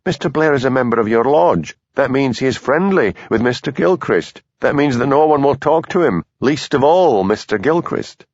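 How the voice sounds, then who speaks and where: A man voicing a nervous, earnest police constable with a Highland Scottish accent, in a dull lo-fi recording. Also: lively intonation, normal speed, few pauses, a little mellow, no room sound. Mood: insistent. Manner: earnest, pleading.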